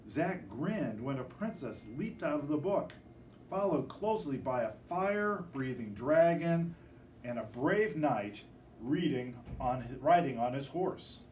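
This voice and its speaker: Expressive male storyteller, animated, distant mic. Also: mid-range voice, unhurried, roomy sound. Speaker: storybook reader. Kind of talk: children's picture book read-aloud. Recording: distant microphone with faint background noise.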